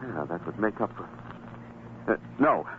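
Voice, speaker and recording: A troubled middle-aged father in an old radio play. He speaks earnestly and haltingly, with a widely swinging pitch. The recording is tinny and noisy. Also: expressive. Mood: hesitant, wavering. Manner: hesitant, exclamatory, earnest. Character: troubled father with a gambling weakness, excitable yet merciful. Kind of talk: old-time radio drama acting.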